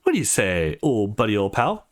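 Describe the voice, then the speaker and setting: Man reading as a playful, animated young game heroine. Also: lowish voice, moderate pace. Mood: teasing.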